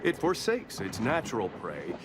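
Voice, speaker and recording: A man playing a sardonic, mock-serious wildlife documentary narrator in a video game, with a deadpan, grandiose delivery. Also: lowish voice, moderate pace, background noise. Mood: deadpan, wry. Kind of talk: scripted nature documentary parody narration. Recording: loud music over the voice. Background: loud music.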